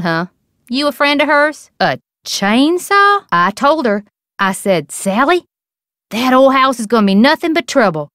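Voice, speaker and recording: A wily, greedy small-town woman from a video game, with a folksy rural American accent. Her clear, smooth voice sits a little high and bounces around in coaxing, colloquial swings, recorded close and dry. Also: fairly bright tone, no echo. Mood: gossipy. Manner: folksy, drawling, colloquial, sing-song coaxing. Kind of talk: video game character acting.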